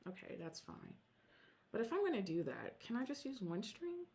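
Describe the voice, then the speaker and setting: A woman's voice: a little high, wildly swooping pitch, moderate pace, darkish tone. A woman whose first language is Korean, chatting at home about her stitching projects in a rambling, self-interrupting way. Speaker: Flosstube vlogger. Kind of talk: casual show-and-tell vlog.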